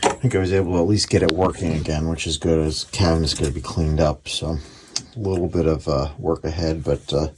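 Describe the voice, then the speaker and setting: Low-pitched man in casual repair commentary. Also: steady tone, fairly quick, slight background noise. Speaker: radio repair hobbyist, channel host. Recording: radio music playing behind voice. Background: music from a radio being repaired.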